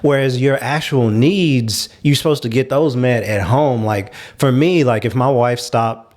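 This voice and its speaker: A man's voice: medium pitch, unhurried, a little mellow. A man in a casual panel discussion, explaining his opinions at length in a conversational way. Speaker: talk show panelist.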